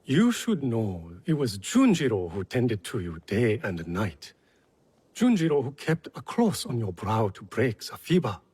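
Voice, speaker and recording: Game role: kindly older temple man, raspy, slow, highly varied intonation. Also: huge pitch swings, heavily acted. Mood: gently reproving. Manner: measured, gently wry. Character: kindly older male temple healer, wry and patient. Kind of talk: video game cutscene acting.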